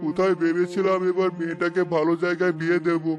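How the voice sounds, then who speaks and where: A man's voice: high-pitched, level tone, fast, over music, close to an everyday voice. A man playing a weary, poor old village labourer in a cartoon, humble and plaintive in manner. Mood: despairing. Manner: plaintive, pleading. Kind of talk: Bangla cartoon story dialogue.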